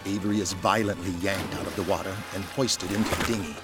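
Male narrator for audio drama: lowish pitch, scripted dramatic read, clear score behind. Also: steady tone, fast. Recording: music behind the voice.

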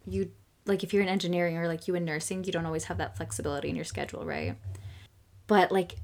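A young woman co-hosting a podcast, chatting casually and rambling with lots of fillers and laughter. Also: on the low side. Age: young adult. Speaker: podcast co-host. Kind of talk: casual chat.

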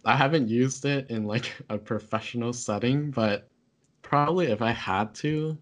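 A man's voice: mid-range voice, moderate pace. A young man, a podcast co-host whose first language is Taishanese, chatting casually with lots of fillers and self-corrections.

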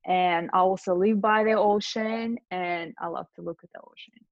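A woman's voice: lowish voice, steady tone, moderate pace, no echo. Lady dj talking it through, lots of ums, dull dry recording. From Lithuania, raised in Russia. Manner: thoughtful, with frequent fillers. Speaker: guest DJ. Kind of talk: interview answers.

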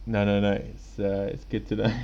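A man co-hosts a podcast in loose, rambling chat, chuckling as he goes. His voice sits low with a gravelly edge. The recording sounds a little dull, with a faint hiss underneath. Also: completely flat delivery, moderate pace, dark tone. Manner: laid-back, rambling, chuckles often. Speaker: podcast co-host. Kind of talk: casual chat.

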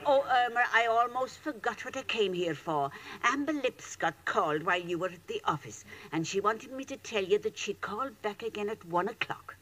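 Bright, swooping landlady. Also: mid-range voice, expressive, moderate pace, few pauses. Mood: huffy. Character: huffy, coy older Irish landlady. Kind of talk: 1940s radio comedy acting. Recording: faintly noisy old radio recording.

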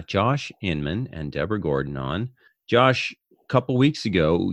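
A low-voiced American man hosts a podcast over a video call. He speaks earnestly and in a measured way, and the sound is close and dry. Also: dry recording. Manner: earnest, measured, speaks slowly when reading out numbers. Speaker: podcast host. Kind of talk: podcast interview chat.

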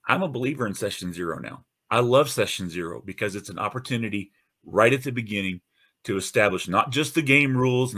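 A man with a low, radio-ready voice talks straight to his listeners as host of his own show. He sounds earnest and encouraging, stumbles into restarts and fillers, and keeps the tone casual and warm-hearted. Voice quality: deep radio-style voice. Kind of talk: casual podcast monologue.

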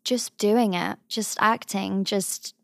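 A young woman from England with a high voice, giving thoughtful, earnest interview answers as a drama student. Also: fairly even intonation, takes it slowly, gravelly, no room sound. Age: young adult. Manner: thoughtful, earnest, softly trailing phrases.

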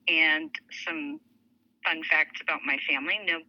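A woman's voice: low-pitched, steady tone, a little slow, dull audio. A woman in her forties from Lebanon and Saudi Arabia, a podcast guest chatting casually about her life with fillers. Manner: chatty, with fillers and light laughs. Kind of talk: casual interview storytelling.

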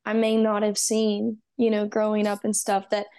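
A woman's voice: medium pitch, completely flat delivery, moderate pace, dark tone, clean tone. A young woman from Texas, a musician guest talking earnestly and upbeat, with many "um" and "you know". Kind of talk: casual interview.

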